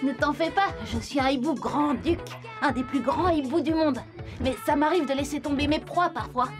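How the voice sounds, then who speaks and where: A woman's voice: sits high, normal speed, over music, natural voice. A woman voicing a proud, teasing male eagle owl in a children's cartoon, explaining animal facts simply and kindly.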